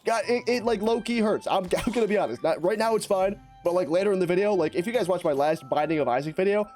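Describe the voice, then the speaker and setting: A young man with a raspy, hoarse voice, giving excitable, slangy gameplay commentary as a YouTuber. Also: high voice, soft background music. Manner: excitable, slangy, fast-talking, rambling. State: hoarse from lost voice. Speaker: gaming YouTuber.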